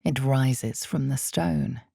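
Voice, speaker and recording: Dark fantasy game narrator lady, super creaky, bone dry close mic, measured n ominous. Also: a bass voice, no echo, exaggerated voice. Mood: grave, ominous. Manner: measured, dramatic, deliberate. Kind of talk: scripted second-person fantasy narration. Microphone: close studio microphone, dry.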